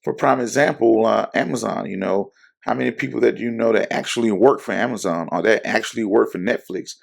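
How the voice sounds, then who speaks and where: Hoarse, gravelly-voiced man hosting an investing show. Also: lowish voice, brisk. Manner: rambling, full of fillers and self-corrections. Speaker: investing channel host. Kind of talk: casual talk to camera.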